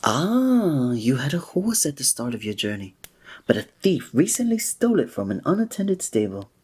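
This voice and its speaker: Guy doing a gentle flirty innkeeper for audio roleplay, breathy, really expressive pitch, talks all formal and olde worlde. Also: moderate pace. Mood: concerned, curious. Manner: formal old-fashioned diction, gentle and attentive. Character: kindly, flirty male village innkeeper, courteous and tender. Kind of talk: scripted roleplay audio drama, one-sided conversation with the listener.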